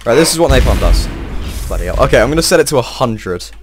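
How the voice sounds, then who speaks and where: Excitable man narrating his gameplay. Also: medium pitch, fast talker, over music. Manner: excitable, swears often, talks to himself while playing. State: slightly strained voice. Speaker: gameplay video host. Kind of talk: casual let's-play commentary.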